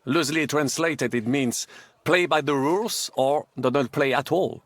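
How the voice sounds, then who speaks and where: An actor voicing a suave, patient foreign gentleman who teaches courteously in a video game. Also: on the high side, moderate pace, no echo. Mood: instructive. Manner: courteous, instructive, flowery.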